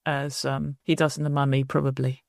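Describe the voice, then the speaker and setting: A woman's voice: very deep voice, little pitch movement, vocal fry, darkish tone, no echo, clean studio sound. Playful woman hosting a podcast. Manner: jokey, playful, quick banter. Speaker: podcast host, author and broadcaster. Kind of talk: casual podcast chat.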